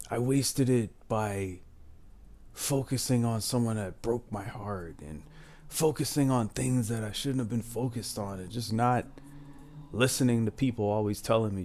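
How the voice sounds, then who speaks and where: A man's voice: mid-range voice, darkish tone. A man in his twenties talking casually and candidly, giving life advice and often adding "man". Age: 20s. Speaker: advice channel host.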